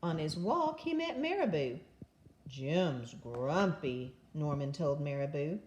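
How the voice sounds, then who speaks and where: An older woman, a grandmother, reading a children's picture book aloud as an expressive narrator. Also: sits low, normal speed, highs cut off, slight background noise.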